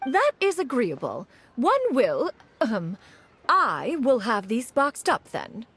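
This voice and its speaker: Haughty, ageless woman inventor, archaic formal diction, animated pitch, game dialogue over faint music. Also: slow, slightly rough, exaggerated voice. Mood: musing, reserved. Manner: formal, archaic, aloof speech, saying "one" for herself. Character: proud, haughty ancient female scholar-inventor posing as mortal. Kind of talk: scripted video game character dialogue.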